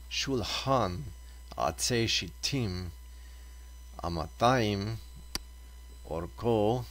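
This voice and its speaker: A man reading Torah scripture aloud as a reader, deliberately, in short separated phrases. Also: low voice.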